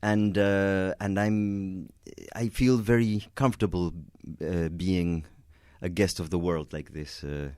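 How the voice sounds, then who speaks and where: Deep-voiced man, hesitant and chatty. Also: fairly even intonation, takes it slowly, few pauses, darkish tone, clear, resonant tone, no room sound, clean studio sound. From Venezuela. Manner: hesitant, many restarts and fillers, chuckles. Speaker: concert pianist, guest. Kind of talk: radio interview answers.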